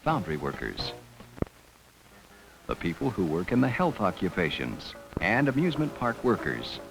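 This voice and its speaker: This is a man with a slightly low voice narrating an educational film. His intonation moves around a lot, and music plays behind him on the aged soundtrack. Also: huge pitch swings, normal speed, gravelly, low-quality recording. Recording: vintage 16mm film soundtrack.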